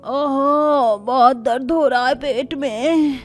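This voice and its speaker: A woman's voice: sits high, slow pace, faint music behind. A woman voicing a fretful female relative in a cartoon family drama. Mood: pained.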